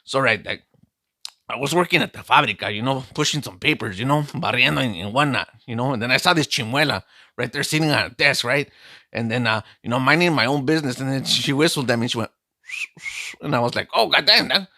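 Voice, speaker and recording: A man's voice: medium pitch, quick pace, pushed and bright, no room sound, clean studio sound. A man playing a boastful, heartbroken streetwise vato, telling a comic story in slangy talk. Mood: swaggering. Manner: slangy, calls listener "dog" constantly, boastful storytelling. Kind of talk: comic character monologue.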